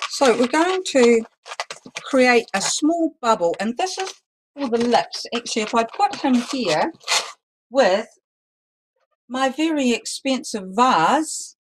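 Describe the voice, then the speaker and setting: A woman teaching a live balloon-twisting lesson, talking loudly and chattily with some self-deprecating humor. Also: a little high, sing-song, slow, pauses often, noisy background. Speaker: balloon artist teaching a workshop. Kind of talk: live online demonstration lesson.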